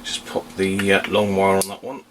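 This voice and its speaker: A man's voice: sits low, completely flat delivery, normal speed, a crowd in the background. A man giving a casual, off-the-cuff demonstration as a hobbyist host, hesitant and trailing off into muttered asides. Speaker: radio hobbyist, video host. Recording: home recording with faint background hiss.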